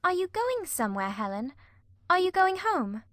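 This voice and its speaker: A woman playing an anxious, devoted young girl in a dramatic audiobook, speaking softly with an earnest, pleading manner. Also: very high-pitched, very animated, normal speed, dry recording, studio quality, exaggerated voice. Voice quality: soft, girlish.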